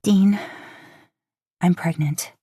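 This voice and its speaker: A woman's voice: on the low side, very slow, dark tone, husky, no echo, full character voice. Woman acting a nervous young woman, pleading n earnest, audiobook, close dry sound. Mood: confessing. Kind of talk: fan fiction audiobook character.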